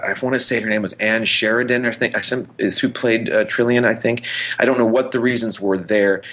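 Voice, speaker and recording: Male podcast co-host, lower register, casual and enthusiastic, rambling delivery with halting repetitions. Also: fairly bright tone. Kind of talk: casual chat about pop culture.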